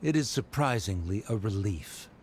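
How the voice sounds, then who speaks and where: A man's voice: fairly low pitch, expressive, moderate pace, darkish tone, slight background noise, close to an everyday voice. A man playing a haughty, cold scholar and father in a video game, speaking in a pompous, curt way. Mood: disdainful, grudging.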